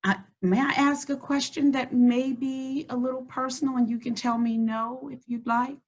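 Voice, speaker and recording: Woman reading a kids book out loud, slow and stretchy, super encouraging. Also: sits high, steady tone. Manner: warm, encouraging, slows and stretches words when reading. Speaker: school district host reading to children. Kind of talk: read-aloud lesson and chat.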